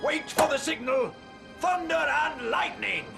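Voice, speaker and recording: High-pitched bossy cartoon ion commander. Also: male voice, expressive, measured pace, creaky, over music, heavily acted. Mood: urgent shouting. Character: bossy male ion military commander drilling his troops. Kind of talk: cartoon military orders.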